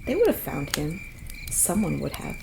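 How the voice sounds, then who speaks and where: A woman plays a shy, earnest young camp counselor in an audio drama. Her voice is soft, hesitant and full of fry. Crickets are clearly heard behind her. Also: low voice, wildly swooping pitch, moderate pace, noisy background, full character voice.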